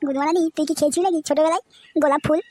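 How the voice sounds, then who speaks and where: A woman's voice: sits high, steady tone, very fast, noisy background, natural voice. A woman voicing a cocky, foul-mouthed, lovestruck young man in a comedy cartoon, with boastful, teasing banter. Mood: cocky, excited.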